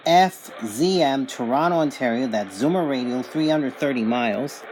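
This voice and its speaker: A man's voice: medium pitch, lively intonation, normal speed, noisy background. A man casually demonstrating and reviewing a radio, reading out station details in a matter-of-fact way. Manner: matter-of-fact, reading out call signs and distances. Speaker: reviewer giving a radio reception test.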